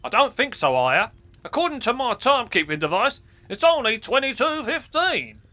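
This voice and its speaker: A man voicing an excitable, cheerful male crow companion in an audio drama. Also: extremely high pitch, normal speed, heavily acted. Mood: earnest, puzzled. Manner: excitable, exclaiming, delighted. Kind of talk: audio drama character acting.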